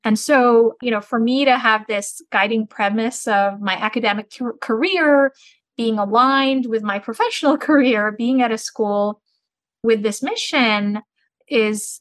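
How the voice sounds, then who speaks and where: A middle-aged woman from Turkey, a business school dean, answering interview questions in a measured, articulate, explanatory way. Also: on the high side, a bit bright, no echo.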